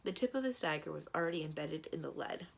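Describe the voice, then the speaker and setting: Low-pitched woman reading a storybook aloud. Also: expressive, fast talker, gravelly. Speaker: library storyteller. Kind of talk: children's storybook narration.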